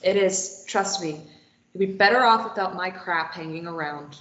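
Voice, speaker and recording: A woman's voice: fairly low pitch, moderate pace, fairly bright tone, lightly acted. A woman plays a sarcastic, self-deprecating woman who is desperate to get away. She brushes people off with dry, dismissive lines in a stage play read-through. The recording is dull and has noticeable room echo. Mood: bitter, resigned. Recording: dull, roomy, echoey recording.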